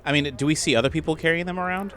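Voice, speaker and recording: A man's voice: a little high, racing, a bit bright, a little hiss or hum. A man playing a tabletop role-playing game, bantering casually in a geeky way. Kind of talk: casual improvised game chat.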